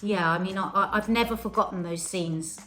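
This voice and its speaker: A woman's voice: mid-range voice, fairly quick, a bit bright, soft background music. A woman talks in a reflective, wandering way in a casual film chat. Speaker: podcast co-host.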